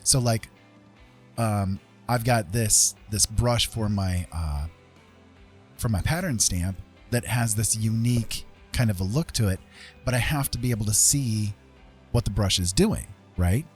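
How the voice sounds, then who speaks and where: Middle-aged male art streamer, lowish pitch, informal and unsure of himself, talking viewers through his process over faint music. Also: unhurried. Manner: self-deprecating, hesitant, informal. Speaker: livestreaming digital artist. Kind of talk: casual livestream tutorial chat.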